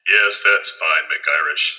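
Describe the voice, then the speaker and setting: An actor playing a crotchety conservative magazine boss, a gruff, pompous man given to ranting in an audio play. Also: extremely low pitch, takes it slowly, sharp tone, full character voice. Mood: gruff, indignant.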